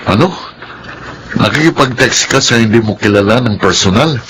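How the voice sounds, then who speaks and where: A man's voice: mid-range voice, lively intonation, normal speed, a bit bright, a little hiss or hum, natural voice. A man playing a stern, protective middle-aged father and boss in a Filipino radio drama. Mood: worried.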